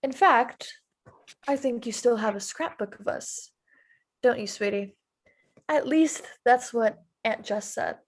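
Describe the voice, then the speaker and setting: A woman's voice: fairly high pitch, slow. An actress at a table read playing a sly, sugary young woman who mocks her friend. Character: young woman Megan, sly and mocking cousin.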